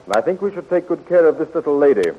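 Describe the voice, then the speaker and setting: Stalwart young hero king in a 30s radio serial, husky, very animated, lo-fi sound. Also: fairly high pitch, fast, slight background noise. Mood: assured. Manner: decisive, stalwart, declamatory. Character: commanding young male space hero turned king. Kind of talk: 1930s radio serial acting. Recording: dull 1930s radio recording.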